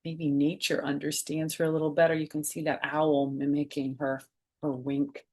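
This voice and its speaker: A middle-aged woman with a slightly low voice hosts a children's storytime. She reads in a gentle, teacherly way and slips easily into conversational asides, putting questions to her young listeners. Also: little pitch movement, normal speed. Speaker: storyteller reading a children's book, former teacher. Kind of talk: read-aloud storytime with personal asides.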